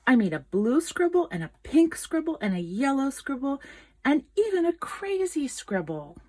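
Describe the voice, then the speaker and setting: A woman reading a children's picture book aloud in an expressive, dramatic storytelling manner. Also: high-pitched, normal speed. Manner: expressive, dramatic, with gasps and whispered asides.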